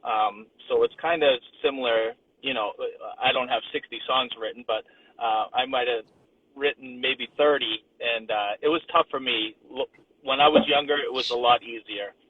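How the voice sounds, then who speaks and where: Husky-voiced man host on a tinny line. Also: medium pitch, steady tone. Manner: halting, rephrases questions with fillers. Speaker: podcast host. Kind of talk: casual interview questions.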